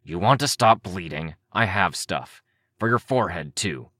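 A man's voice: low voice, expressive, moderate pace, sharp tone, no room sound, studio quality, pitched above the performer's own voice, heavily acted. A man voicing a surly, short-tempered hulking male mutant with a growling voice in a story. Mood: growling, irritable.

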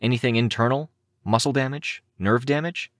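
A man voicing a composed, practical young woman in a story, assertive and protective, with a calm, firm manner. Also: mid-range voice, normal speed, no echo, studio quality, voice pushed up, lightly acted. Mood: concerned, clinical.

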